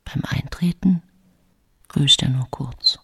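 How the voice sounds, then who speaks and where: Whispering, fearful accused poisoner. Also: female voice, extremely low pitch, slow, fairly bright tone, no echo, clean studio sound, full character voice. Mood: hushed, anxious. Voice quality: breathy, husky whisper. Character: fearful, secretive 43-year-old woman accused of poisoning. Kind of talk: whispered first-person radio drama monologue.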